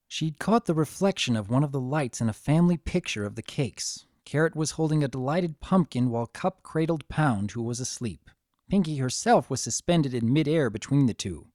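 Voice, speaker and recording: Male narrator, dramatic story reading, gentle, animated intonation, dry recording. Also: mid-range voice, dry recording. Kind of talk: dramatic scripted fan fiction narration.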